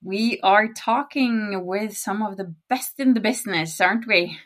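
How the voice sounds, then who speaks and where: A woman's voice: medium pitch, fairly bright tone. A Norwegian woman with a Norwegian accent, co-hosting a podcast in casual chat, giggly and talkative. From Norway. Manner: chatty and giggly, talks fast. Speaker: podcast co-host.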